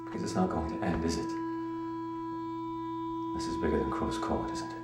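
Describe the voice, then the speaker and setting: An actor playing a shaken, grieving gentleman lover in an audio drama, with a halting, emotional delivery. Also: very deep voice, fairly even intonation, echoey room, loud music behind the voice. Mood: grieving, confessional. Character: Parker Raleigh, grieving secret lover, shaken career man.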